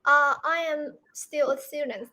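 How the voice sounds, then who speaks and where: Young woman, Vietnamese accent, high bright voice, slow hesitant speech, video call. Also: steady tone. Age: young adult. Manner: hesitant, many fillers, soft laughs. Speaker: English learner. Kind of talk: conversation practice.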